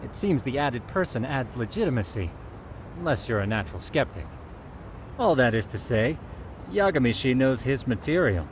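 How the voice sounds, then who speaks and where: A man acting a formal, animated tech expert. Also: medium pitch, normal speed, noisy background, natural voice. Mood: formal, brisk. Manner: formal, wordy, precise diction. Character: polite, meticulous male tech expert investigator, quietly smug. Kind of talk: English video game dub acting.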